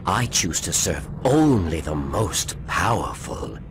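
A man's voice: big pitch swings, slow pace, background noise, full character voice. A man voicing a sly, servile alien henchman in a video game, fawning and smug as he speaks. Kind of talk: video game villain acting. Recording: loud rumbling ambience behind voice.

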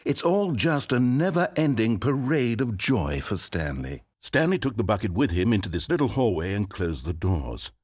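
An actor playing the fussy, needy male narrator of an office video game, swinging between pleading, wry sarcasm and giddy excitement. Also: fairly low pitch, normal speed, few pauses, breathy edge.

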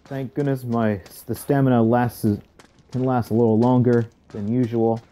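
A man's voice: medium pitch, flat delivery, moderate pace, mellow. A man casually commenting on a horror game as he plays it, thinking aloud in murmured asides. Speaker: gaming channel host. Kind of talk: casual let's play commentary.

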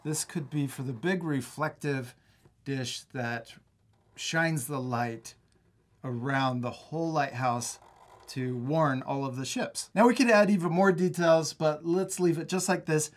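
A man in his thirties teaching a step-by-step drawing lesson for children, upbeat and encouraging. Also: on the high side. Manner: upbeat, encouraging, explains slowly. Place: art studio.

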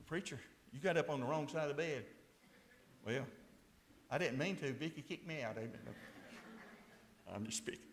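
A man in his sixties preaching a fiery sermon at a church pulpit, swinging from hushed tones to shouts. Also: a little high, normal speed, long pauses, a little hiss or hum. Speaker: pastor. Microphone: lapel mic, far camera. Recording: echoey church sanctuary.

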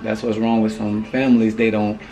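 Hoarse man vlogging to his phone. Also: lowish voice, little pitch movement, a little fast, warm tone, soft background music. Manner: loose, slangy, repeats "you feel me". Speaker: family vlogger. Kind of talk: casual vlog talk to camera. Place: apartment hallway indoors, then parked car. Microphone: handheld phone camera at arm's length.

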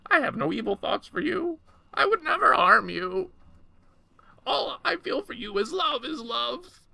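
A man's voice: extremely high pitch, sing-song, measured pace, vocal fry, bright, forceful tone, pitched above the performer's own voice, exaggerated voice, low-quality recording. A man voicing a small, frantic, lovesick monster in a storybook, pleading and repeating words. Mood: desperate pleading.

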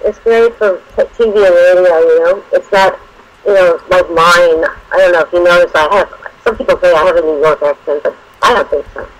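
A man co-hosting a radio talk show, chatting casually in a halting way with restarts and "you know.". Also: sits high, a bit bright, poor audio. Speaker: radio co-host. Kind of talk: casual on-air chat.

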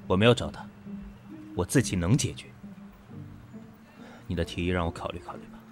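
An actor playing a composed, proud businessman in his thirties in a Chinese audio drama, measured, dry and cutting. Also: deep voice, lightly acted. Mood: guarded, dismissive. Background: background music.

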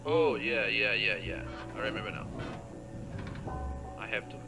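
A man's voice: medium pitch, measured pace, gravelly. A man doing casual gameplay commentary, muttering to himself in short fragments. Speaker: gamer playing and commenting. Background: game music.

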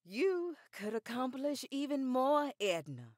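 A woman's voice: high voice, sing-song, takes it slowly, a little mellow, no room sound, studio quality, full character voice. An actress playing a poised, formidable older activist lady of the 1910s with formal, precise diction in a radio drama. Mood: firm, measured. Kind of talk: period radio drama acting.

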